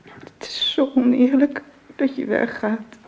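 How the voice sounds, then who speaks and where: An actress playing an exhausted, frightened, clinging sick wife in a radio drama, speaking in a halting, pleading, breathy way. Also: female voice, high-pitched, fairly even intonation, darkish tone, lo-fi sound, full character voice.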